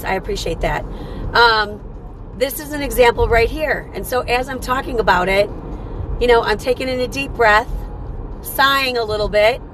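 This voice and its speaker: A woman with a hoarse, rough, tired voice hosting a motivational live stream, talking earnestly and repeating her points. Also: on the high side, noisy background. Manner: repetitive, earnest, coaching tone with many rhetorical "right?".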